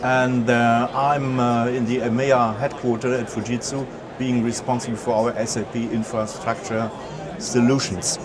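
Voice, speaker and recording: A man from Germany with a German accent, giving a conference presentation, pausing often with filler sounds and restarting sentences. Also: mid-range voice, level tone, a crowd in the background. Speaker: conference speaker.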